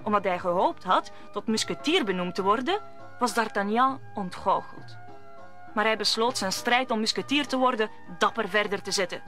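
A woman's voice: mid-range voice, lively intonation, normal speed, bright, forceful tone, soft background music. A woman narrating a radio play as a scripted story.

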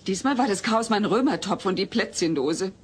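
A woman's voice: mid-range voice, expressive, a little fast, slight background noise, natural voice. An actress playing an exasperated, dry-witted mother and wife in a German sitcom audio drama.

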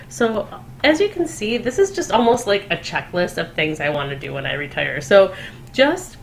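A woman with a lowish voice talks through an unscripted computer tutorial in a chatty, upbeat way, often pausing on fillers and restarting her sentences. A faint hiss of noise sits behind her. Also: fairly bright tone. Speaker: tutorial presenter, company co-founder. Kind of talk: unscripted screen-recorded tutorial.

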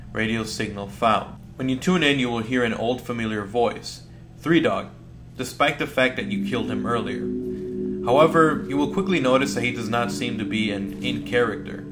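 A man's voice: medium pitch, moderate pace. Male narrator over background music. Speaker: gaming video narrator. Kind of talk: scripted creepypasta narration.

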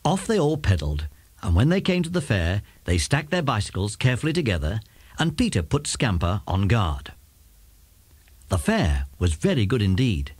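A man's voice: lowish voice, wildly swooping pitch, normal speed, breathy edge, dry recording. A man with an English accent reading scripted narration for a children's story as its narrator.

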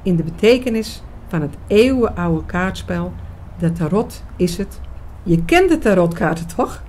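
A woman's voice: lowish voice, lively intonation, a little slow, a little mellow, a little hiss or hum. A woman playing an eager, knowing researcher in a scripted radio drama, explaining mysteries with emphasis. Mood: intent, intriguing. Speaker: actress in an audio drama.

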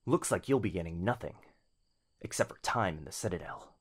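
A man acting as a devoted young boyfriend in an ASMR roleplay, tender, intimate and unhurried yet firm. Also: low-pitched, animated, husky, exaggerated voice.

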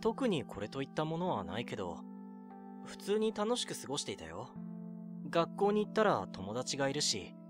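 A man playing a mild, modest 19-year-old in an amateur audio drama, with a casual, gentle, self-effacing manner. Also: mid-range voice, huge pitch swings, normal speed. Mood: easygoing, encouraging. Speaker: narrator and lead actor in an audio drama. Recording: music behind the voice.

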